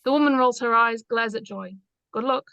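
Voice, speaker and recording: High-voiced woman narrating a script. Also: moderate pace, gravelly, bright, forceful tone, dry recording, muffled recording. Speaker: narrator reading stage directions.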